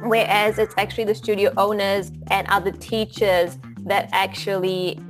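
A woman's voice: medium pitch, flat delivery, moderate pace, faint music behind. A woman from Fiji who teaches yoga, speaking in an interview with hesitant, filler-heavy phrasing that rises when she presses a point. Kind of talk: casual interview talk.

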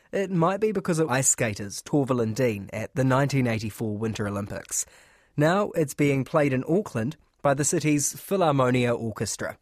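A man's voice: medium pitch, no echo, studio quality. A man narrating and interviewing as a podcast host.

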